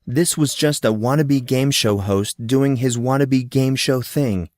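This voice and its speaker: Male storyteller for scripted fiction: medium pitch, expressive dramatic narration, dry studio-like sound with no room echo. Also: measured pace.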